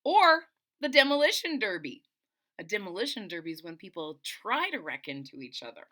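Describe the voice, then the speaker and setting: A woman's voice: medium pitch, very animated, moderate pace, sharp tone. A middle-aged woman reading a picture book aloud to children, expressively, with explanatory asides and dramatic emphasis. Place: small indoor room at home.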